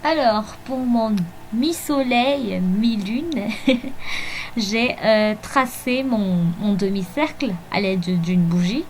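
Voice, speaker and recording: A woman whose first language is Malagasy, narrating a journal planning video in a hesitant way with many fillers and soft laughs. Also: fairly high pitch, takes it slowly, slight background noise. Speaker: bullet journal vlogger. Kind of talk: voice-over narration of a plan with me. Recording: faint music behind voice-over.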